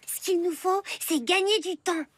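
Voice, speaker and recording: A woman voicing a determined, scheming schoolgirl in a cartoon, earnest and quick with excuses. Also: very high voice. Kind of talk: French animated series dubbing.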